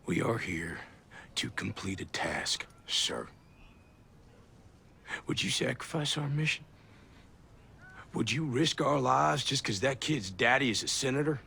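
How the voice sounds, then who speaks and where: An actor playing a bitter, defiant soldier colonel who swings from hushed contempt to shouting. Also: male voice, medium pitch, expressive, a little slow, gravelly, pauses often, slightly rough, heavily acted. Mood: cold. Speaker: rogue special forces officer. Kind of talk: video game cutscene acting.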